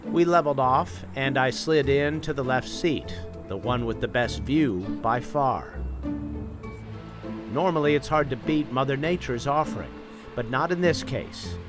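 A man with a medium-pitched voice delivers scripted documentary narration. He tells it in the first person as a reporter. Music plays clearly behind him. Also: measured pace. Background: clear background music.

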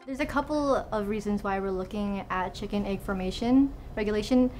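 A woman's voice: medium pitch, a little fast, loud music behind the voice. A woman in her twenties from Hawaii, a student researcher giving informal interview answers in a laboratory. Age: 20s. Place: indoors in a laboratory.

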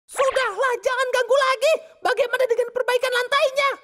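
A woman's voice: very high voice, fairly even intonation, brisk, fairly bright tone. A woman voicing a small, easily exasperated boy parrot in a children's cartoon dub. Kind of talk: animated cartoon dub acting.

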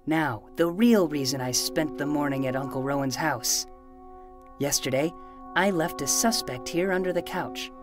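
A woman's voice: low-pitched, moderate pace, music in the background, close to an everyday voice. A woman voicing an eager, self-important twelve-year-old boy who plays detective with dramatic hard-boiled delivery in a fiction podcast. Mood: hard-boiled, scheming. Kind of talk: fiction podcast acting and narration.

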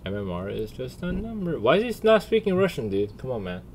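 A man's voice: mid-range voice, sing-song, a little fast. A man giving casual, slangy reaction commentary as a streamer, blunt and full of swearing. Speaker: streamer and video creator.

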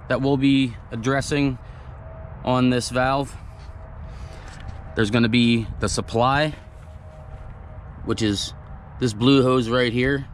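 A man's voice: mid-range voice, slight background noise. A man explaining a trailer repair outdoors in a plain-spoken, hands-on way, with frequent fillers, recorded on a body-worn camera. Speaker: truck repair technician. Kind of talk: hands-on technical demonstration. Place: outdoor gravel lot by trailers.

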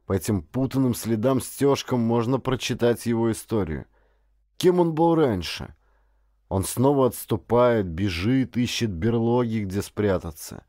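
A man's voice: medium pitch, lively intonation, a little slow, dark tone, no room sound, studio quality, close to an everyday voice. A man voicing a stern, learned father in an audiobook, reading aloud in a grave, emphatic way. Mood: brooding.

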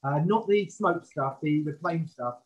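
A man's voice: a little high, a little slow, lo-fi sound. A man, a chef, explains his cooking informally as he demonstrates it live over a video call from a kitchen. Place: professional kitchen, indoors. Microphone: video call, standing back from camera.

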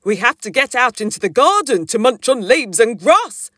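A woman voicing an easygoing yet excitable father dinosaur, chummy and informal, in a children's storybook. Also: on the high side, normal speed, dry recording, studio quality, voice pushed up, full character voice. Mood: practical, kindly.

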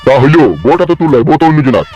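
A man's voice: a little high, rapid-fire, warm tone, highs cut off, soft background music, lightly acted. A man voicing a crabby, money-grubbing old wedding priest in a comic cartoon, scolding and crude. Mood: bossy, haggling.

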